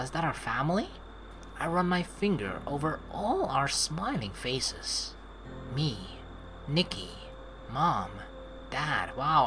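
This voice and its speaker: Low-pitched, very animated woman gamer. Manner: expressive, playful, swearing. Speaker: let's play host. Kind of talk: casual game commentary, reading dialogue aloud.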